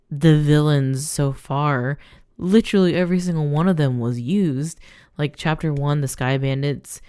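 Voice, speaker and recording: A woman giving casual, rambling gameplay commentary, thinking her thoughts out loud as she goes. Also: low voice, clean tone, no room sound, studio quality. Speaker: gaming let's player.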